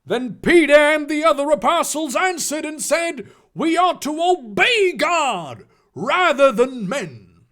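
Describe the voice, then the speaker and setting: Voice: high voice, very animated, slow, a bit bright, pitched above the performer's own voice, heavily acted. A performer voicing a fervent fire-and-brimstone preacher, declaiming scripture. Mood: thundering.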